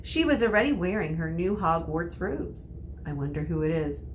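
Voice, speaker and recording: A woman's voice: lowish voice, moderate pace. Woman reading a storybook aloud, noisy recording. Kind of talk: storybook narration, read aloud.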